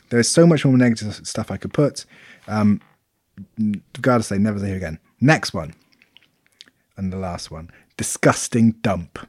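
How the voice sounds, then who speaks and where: Animated man on a dry mic. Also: fairly low pitch, no room sound. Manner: animated, laughing, sweary. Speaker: podcast co-host. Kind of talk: casual chat, reading out reviews.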